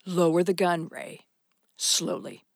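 A woman's voice: on the low side, fairly even intonation, slow, gravelly, heavy background noise. An actress playing a young female undercover cop who poses as a novice gambler in an audio drama. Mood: commanding.

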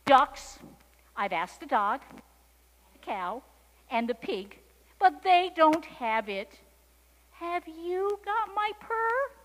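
A woman voicing a small, plaintive female kitten with pleading, drawn-out questions in a storybook reading. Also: high-pitched, big pitch swings, fairly bright tone, long reverb tail, slight background noise, pitched above the performer's own voice, heavily acted, rough recording. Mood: eager, questioning.

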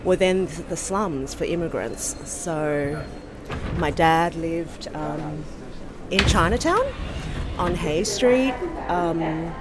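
An Australian woman from Sydney, speaking in a casual, thoughtful interview and pausing often with ums and restarts. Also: deep voice, background noise. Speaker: podcast host being interviewed.

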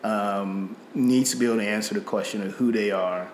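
A man's voice: fairly low pitch, steady tone, brisk, reverberant, slight background noise. A middle-aged man from Nigeria with a slight British-tinged Nigerian accent, speaking reflectively about faith, often repeating words and using fillers. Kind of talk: faith conversation.

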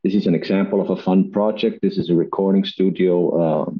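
A man from Iceland telling his story on a podcast in a chatty, relaxed way, with frequent "uh" fillers and restarts. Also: low voice, a little fast, slightly rough, highs cut off. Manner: chatty, laughs often, many "uh" fillers and restarts. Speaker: guest, acoustics company CEO. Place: Reykjavik, home or office.

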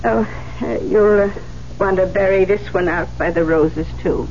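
A woman's voice: mid-range voice, moderate pace, darkish tone, natural voice. An actress playing a dotty older lady who dithers and sweetly scolds, in a radio drama. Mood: fussy, oblivious. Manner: fussy, dithering, repeats words. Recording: muffled vintage radio with faint hum.

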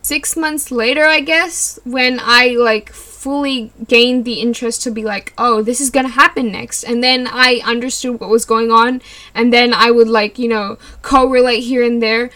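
Young female podcaster, higher and bright tone, loose rambling chatter, giggles often. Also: fairly even intonation, moderate pace. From California. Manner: rambling, giggly, lots of likes and you knows. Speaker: podcast host. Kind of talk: casual solo podcast chat.

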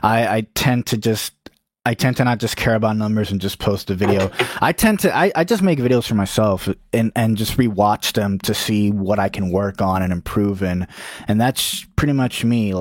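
A man with a low, monotone voice chats casually about making his videos, tripping over his words with lots of restarts. The sound is dry and close, with no room around it. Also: no echo. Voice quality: self-described monotone voice. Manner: halting, many restarts and repeated words. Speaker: YouTube video reviewer. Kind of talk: casual group chat.

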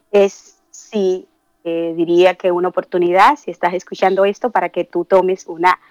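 A woman's voice: mid-range voice, no echo, dull audio. A woman from the Dominican Republic with a Dominican accent, co-hosting a radio show and speaking earnestly, with frequent hesitations. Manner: earnest, halting, many "eh" fillers. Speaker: radio co-host. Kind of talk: reflective radio talk.

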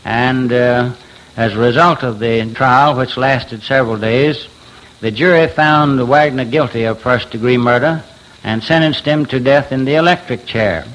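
A man giving a formal, halting account in careful legal language. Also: mid-range voice, fairly bright tone, rough recording. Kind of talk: formal unscripted account of a trial.

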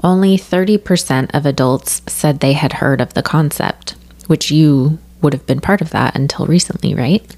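A woman's voice: low voice, normal speed, darkish tone. A woman hosting a podcast, reading her intro and survey figures in an organized way and steering the chat with questions. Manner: organized, reads stats and prompts. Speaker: podcast host.

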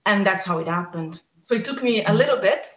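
A woman's voice: mid-range voice, lively intonation, fairly quick. Emotional woman who stammers, echoey room. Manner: open, emotional, stammers at times. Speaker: guest, speech and language therapist. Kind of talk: podcast conversation.

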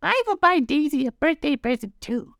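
Storybook little monster boy: sweet, excitable, high-pitched, animated, dry close sound. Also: normal speed, creaky, no echo, full character voice. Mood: eager. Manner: excited, childlike exclaiming.

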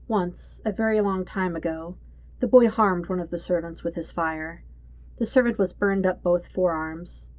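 A woman reading scripted fiction as a narrator, in a measured, solemn storytelling manner. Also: fairly low pitch, a little mellow, dry recording.

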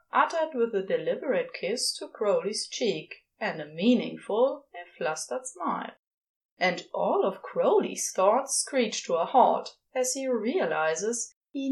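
A woman reading fiction aloud as expressive scripted narration, with dramatic pauses. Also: medium pitch, low-quality recording. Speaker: podfic reader.

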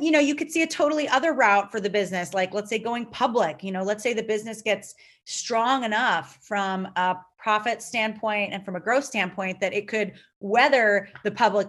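Woman, Lithuanian first language; founder answering interview questions, unhurried and rambling, lots of filler words. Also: mid-range voice, fairly bright tone. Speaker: company founder and CEO.

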